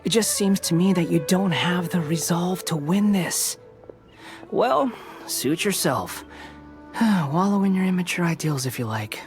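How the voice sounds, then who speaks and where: A man's voice: high voice, lively intonation, measured pace. A man voicing a cold, aloof, condescending rival in a video game. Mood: dismissive. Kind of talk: animated video game voice acting. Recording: music under the voice.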